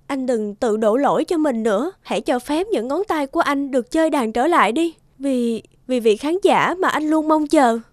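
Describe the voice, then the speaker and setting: A woman playing a caring, earnest young woman in an animated drama, telling things gently. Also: high-pitched, normal speed, hardly any pauses. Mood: worried.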